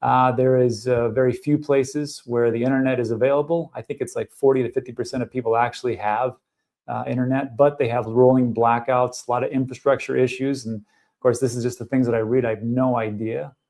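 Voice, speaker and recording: A man's voice: medium pitch, steady tone, fairly quick, a little mellow. A man hosting a live stream about crypto markets, talking casually and thinking aloud with fillers.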